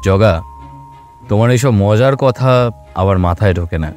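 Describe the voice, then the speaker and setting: A man acts as a confident, serious detective leading the case. The voice is fairly low, measured and firm, in audio drama style over quiet music. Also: close to an everyday voice. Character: serious, steady male detective, confident leader. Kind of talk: Bengali audio drama acting.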